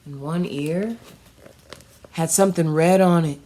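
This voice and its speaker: A woman's voice: deep voice, a little slow, warm tone. A woman storyteller reading a children's story aloud expressively.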